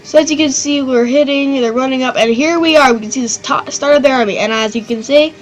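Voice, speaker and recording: A woman hosting her own channel, giving loose, excitable commentary on a strategy game battle, rambling and swearing now and then. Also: high voice, quick pace, slight background noise, poor audio. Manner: rambling and excitable, swears, does silly voices. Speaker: gaming channel host.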